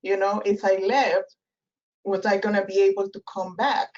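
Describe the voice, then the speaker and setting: A woman's voice: mid-range voice, fairly even intonation, moderate pace, a little mellow. Woman, Spanish accent, Spanish native speaker, webinar guest on a video call, reflective and hesitant delivery, dull lo-fi audio. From Spanish-speaking country. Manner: thoughtful, many fillers and restarts. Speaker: guest professor. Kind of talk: webinar interview.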